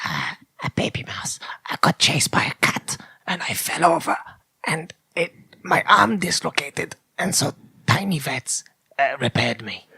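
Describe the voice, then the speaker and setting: A performer voicing a tiny, meek mouse in a hesitant, breathy, raspy whisper for an improvised comedy bit. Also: medium pitch, very animated, unhurried, sharp tone, no echo, exaggerated voice. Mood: earnest, halting. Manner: hesitant, stammering, polite. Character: tiny female guitar-playing mouse, meek crack smoker.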